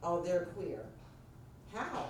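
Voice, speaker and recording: A woman with a New York accent and a deep voice, presenting an interactive workshop and prompting her audience directly. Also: big pitch swings, slow. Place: church meeting room. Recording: very echoey room, distant mic, faint noise.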